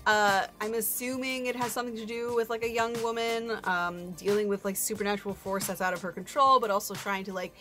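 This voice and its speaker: A woman's voice: medium pitch, fairly even intonation, fairly quick, few pauses, bright, forceful tone, faint music behind. A woman hosting a book review in a casual, chatty way, with informal, self-deprecating, slangy asides.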